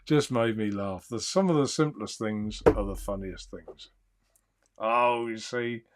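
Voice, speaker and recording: A man hosting a live stream, chatting in a calm, soothing, easygoing way and reading out viewer comments. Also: on the low side, takes it slowly, pauses often, noisy background. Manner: rambling, easygoing, chuckles often. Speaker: livestream host.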